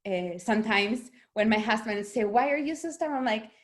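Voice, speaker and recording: Lively Argentine-born woman author. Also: a little high, fairly quick. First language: Spanish. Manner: animated, laughs often, restarts words. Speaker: author guest. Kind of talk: conversational interview.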